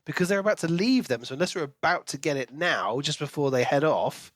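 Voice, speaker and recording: A man's voice: a little high, animated, brisk, a bit bright, no echo, studio quality. A man co-hosting a podcast, talking through a book in casual chat and posing questions as he goes. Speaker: podcast co-host.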